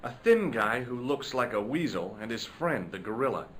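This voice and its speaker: A persistent young investigator from a video game, dry and wry even when he pushes hard. His voice sits mid-range and matter-of-fact. Faint noise hums under it. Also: medium pitch, normal speed.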